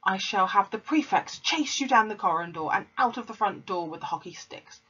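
A woman reading a storybook aloud, putting on a furious, pompous bullying headmistress who rants and shouts. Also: medium pitch, animated, normal speed, husky, highs cut off, voice pushed up. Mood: raging.

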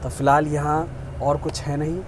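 A young male travel vlogger with a fairly high voice, talking fast and easily to a camera he holds at arm's length. He keeps pointing things out and drops English phrases into his talk. Faint street and engine noise sits under the voice. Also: monotone. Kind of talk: casual travel vlog to camera. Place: bus depot outdoors, then inside a sleeper bus. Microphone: phone or action camera at arm's length.

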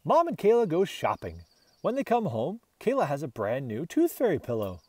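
A man reading a children's storybook aloud in an expressive narrating style. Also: fairly high pitch, moderate pace, breathy edge, dry recording. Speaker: storybook reader.

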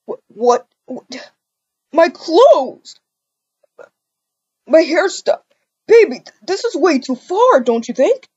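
A woman's voice: high voice, sing-song, slow, long pauses, darkish tone, dry recording, dull audio, heavily acted. A woman playing a pleading, cheating partner in an amateur game roleplay, stammering and repeating pet names in a wheedling way. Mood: panicked, pleading. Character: pleading, whiny cheating partner begging forgiveness.

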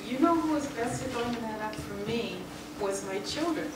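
A woman's voice: on the high side, animated, fairly quick. A woman whose first language is German answers audience questions on a panel, chatting easily, laughing and searching for words now and then. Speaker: panel speaker. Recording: roomy, distant, noisy hall recording.

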